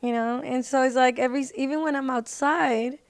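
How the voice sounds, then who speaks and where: A woman with a fairly high, very clear and smooth voice talks casually as a podcast guest. Her delivery is earnest and conversational, with little rise and fall in pitch. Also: steady tone, brisk. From United States. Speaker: podcast guest, singer and social media personality.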